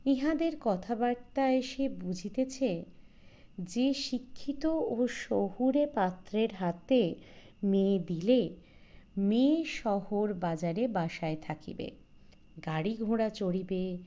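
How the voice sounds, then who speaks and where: A woman narrates a classic literary story at an unhurried, slow pace. Her tone is mellow and relaxed, set in the middle of the female range. The recording sounds slightly dull and muffled. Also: mid-range voice. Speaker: audiobook narrator.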